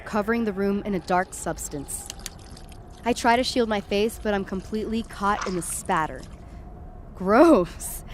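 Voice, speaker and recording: Woman performing frightened young woman, first-person horror drama narration, tense, dramatic, medium pitch, clearly noisy ambient backdrop. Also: lightly acted.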